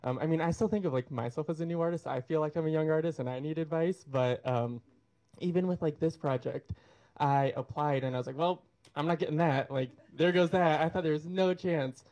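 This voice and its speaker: A man's voice: fairly high pitch, fairly quick, darkish tone. A man on an artists' panel, speaking modestly and encouragingly. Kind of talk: panel discussion answer.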